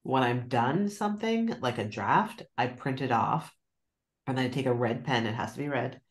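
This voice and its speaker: A woman's voice: rumbling low, lively intonation, moderate pace. A middle-aged woman joins a book club by video call, talking about her writing habits in a loose, chatty way. She sounds self-deprecating and laughs easily as she goes. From Nova Scotia, Canada. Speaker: novelist guest. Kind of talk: casual book club conversation.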